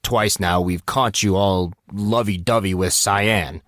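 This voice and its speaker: A man's voice: low-pitched, steady tone, takes it slowly, fairly bright tone, no room sound, clean studio sound. A man voicing a blunt, accusing teenage boy, clipped and matter-of-fact, in an audiobook. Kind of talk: audiobook character voice.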